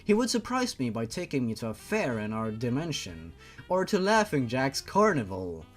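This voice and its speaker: A man reading a story aloud in a theatrical way, with drawn-out emphasis and sarcastic asides. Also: medium pitch, huge pitch swings, soft background music. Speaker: host reading fan fiction aloud. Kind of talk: dramatic mocking story reading.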